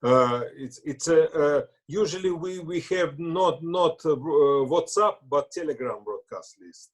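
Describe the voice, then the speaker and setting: A man interviewing a guest, speaking haltingly as he searches for words. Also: a little high, animated.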